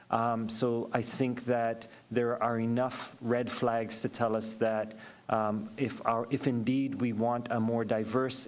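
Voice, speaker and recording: A man speaking as a panelist in a public discussion, in a measured, rhetorical manner with deliberate pauses. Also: mid-range voice.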